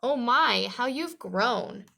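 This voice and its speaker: Woman doing a high, squeaky little storybook mouse. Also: animated, slow pace, creaky, bright, forceful tone, heavily acted. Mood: friendly surprise. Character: squeaky, bossy, fussy little mouse helper.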